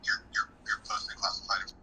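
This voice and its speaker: A woman's voice: a little fast, sharp tone. A woman in a private recorded phone conversation, talking in an urgent, pleading, repetitive way. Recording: noisy recorded phone call.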